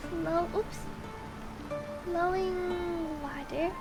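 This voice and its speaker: A woman's voice: very high-pitched, fairly even intonation, drawn-out words. A woman game streamer chatting casually and reading on-screen text aloud in a chatty, giggly way. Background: game music.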